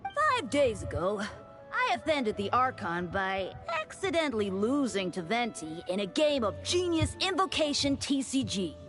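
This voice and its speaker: A woman voices a devout young man, a game deacon with a playful streak. The voice is fairly high and animated, with formal, courteous phrasing over faint music. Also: unhurried. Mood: playfully earnest. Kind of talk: scripted video game dialogue.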